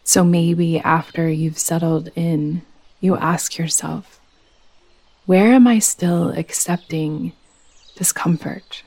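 A woman leading a guided meditation as a yoga nidra teacher, speaking in a soothing, gentle, unhurried manner. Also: fairly low pitch, fairly even intonation.

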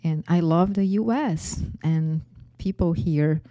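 A woman's voice: deep voice, takes it slowly, warm tone, no echo, studio quality. A woman in her forties with a Brazilian accent, hosting her own podcast and telling her life story with frequent light laughs. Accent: Brazilian Portuguese. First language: Portuguese. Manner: laughs often between phrases. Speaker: podcast host.

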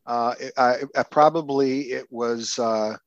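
An older man from Canada, a guest poet, answering in a halting, casual way with many fillers and restarts. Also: medium pitch, steady tone, lo-fi sound, a little hiss or hum. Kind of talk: casual podcast interview.

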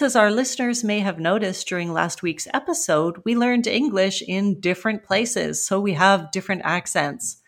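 A woman's voice: lowish voice, a bit bright. A woman from western Canada with a West Coast Canadian accent, hosting a language-learning podcast and explaining things in a friendly, conversational way. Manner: friendly, explanatory, acts out example phrases. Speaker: podcast host and ESL teacher.

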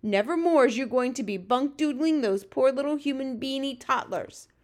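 Woman voicing an excitable, high-pitched storybook giant. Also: normal speed. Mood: exasperated, dramatic. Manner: exclamatory, ungrammatical folksy speech. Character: excitable big-eared male giant, dream catcher, dramatic. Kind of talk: dramatic storybook reading.

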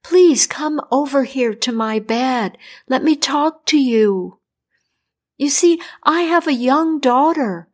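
A woman's voice: expressive, slow. A desperate king, played by a woman storyteller, begging in a raised, strained voice. He repeats his pleas over and over and sounds close to tears. Told as a spoken fairy tale. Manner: high, pleading and tearful, repeating "please". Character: desperate old king, pleading for his daughter.

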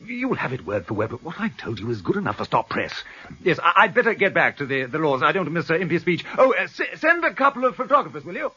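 An actor playing an excitable English court reporter in a British radio drama, husky, chatty and urgent. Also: male voice, on the high side, fast talker, muffled recording, exaggerated voice. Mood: urgent, excited. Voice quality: husky, rough. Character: excitable, hard-bitten newspaper court reporter.

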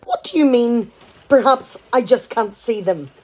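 A sarcastic, chatty wife in a radio comedy. Her voice is highish and slightly husky, and it swings widely as she fires off indignant questions in an exasperated way. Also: lively intonation, measured pace, rough recording. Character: exasperated, sarcastic, talkative wife at a kitchen table. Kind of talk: radio play comedy dialogue.

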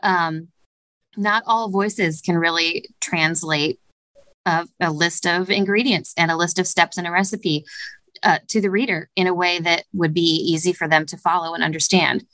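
Woman, lower pitch, bright tone, dry studio sound, careful explanatory talk. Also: fairly even intonation, no echo, poor audio. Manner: measured, explanatory, frequent "um" fillers. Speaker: studio director. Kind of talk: podcast interview answers.

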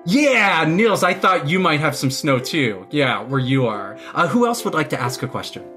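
A woman's voice: low voice, big pitch swings, normal speed, fairly bright tone, faint music behind. A woman teacher hosting a children's video-call lesson, encouraging them and asking questions.

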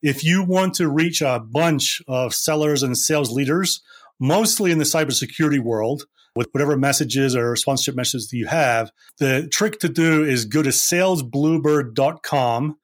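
A man from Scotland, hosting a casual podcast interview with brisk, upbeat questions. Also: medium pitch. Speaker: podcast host.

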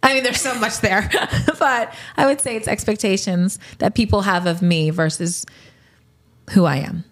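A woman in her late forties, a podcast host, answering rapid-fire questions in a candid, reflective way and laughing easily. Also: medium pitch. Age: 40s.

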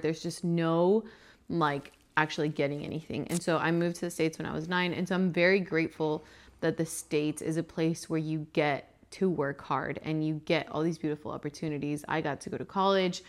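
A young woman from Venezuela co-hosting a casual faith podcast, speaking earnestly and warmly about her own life. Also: low voice. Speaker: podcast co-host.

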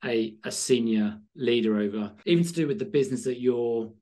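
A man's voice: mid-range voice, a little fast, dark tone. A man hosting a sales podcast, asking long, winding questions with restarts. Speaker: podcast host. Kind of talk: podcast interview.

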